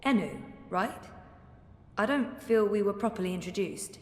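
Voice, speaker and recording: A woman's voice: mid-range voice, animated, normal speed, darkish tone, natural voice. A woman playing a reserved, weary young heroine in a video game, speaking in an understated, hesitant, conversational way. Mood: friendly, awkward. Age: young adult. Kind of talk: video game character acting. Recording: echoey hall, faint background noise.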